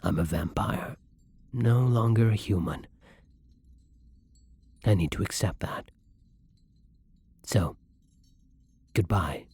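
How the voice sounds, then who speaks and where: A man playing a melancholy, gentle vampire in an intimate ASMR roleplay, soft-spoken and breathy, with hesitant, wistful, formal phrasing. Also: lowish voice, slow pace, pauses often, heavily acted. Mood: resigned, sorrowful. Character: melancholy, gentle male vampire, weary and self-loathing.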